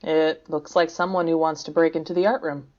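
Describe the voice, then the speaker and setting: A woman playing a dry, level-headed academic who teases in a deadpan way, in an audio drama. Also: low voice, fairly quick, highs cut off, close to an everyday voice. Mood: teasing.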